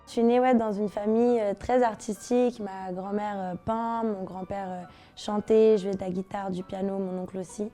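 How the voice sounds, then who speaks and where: A woman in her twenties from Brazil, a singer talking casually about her career, relaxed and slangy, often hesitating. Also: mid-range voice, normal speed, hardly any pauses, soft background music. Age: 20s. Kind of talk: casual interview answers.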